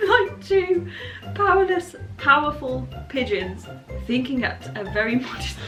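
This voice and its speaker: A woman's voice: high-pitched, very animated, unhurried, sharp tone, far from the mic. A woman doing a playful dramatic reading with banter, often giggling as she goes. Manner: giggly, often breaking into laughter. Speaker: vlogger reading generated stories. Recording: echoey room with music behind.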